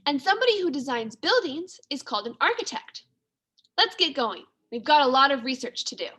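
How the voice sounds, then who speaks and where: Woman acting timid little male mouse, storybook character, high bright voice, earnest, expressive. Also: fairly quick, heavily acted. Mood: keen. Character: timid, thoughtful male library mouse who craves coziness.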